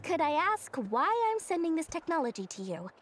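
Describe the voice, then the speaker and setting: A woman's voice: very high voice, sing-song, brisk, slight background noise. A woman playing a small, shrewd, boastful merchant girl in a video game, with a theatrical, sly delivery. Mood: exasperated. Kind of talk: animated video game character acting. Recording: game music behind the voice.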